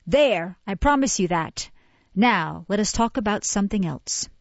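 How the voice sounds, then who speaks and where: A woman voicing a kindly old housekeeper, gentle and soothing. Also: medium pitch, expressive, measured pace, no room sound, highs cut off.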